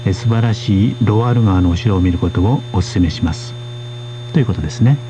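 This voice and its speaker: A man hosting a radio language course, speaking in a polite, steady way as he interprets for his guests. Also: lowish voice, very fast, background noise. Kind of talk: radio lesson presenting.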